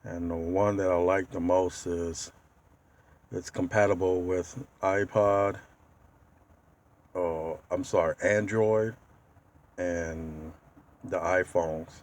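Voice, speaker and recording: A man giving a casual how-to walkthrough as a DIY video host, speaking haltingly and pausing mid-sentence to find his words. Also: sits low, steady tone, a little mellow. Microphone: handheld tablet.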